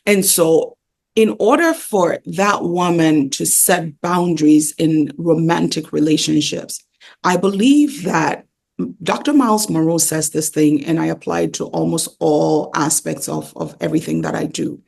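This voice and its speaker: A woman's voice: sits low, lively intonation, a little slow, vocal fry, darkish tone. A woman, speaking as an interview guest in an emphatic, conversational teaching style, repeating key phrases and asking rhetorical questions. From Ghana. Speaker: interview guest, pastor and wellness coach.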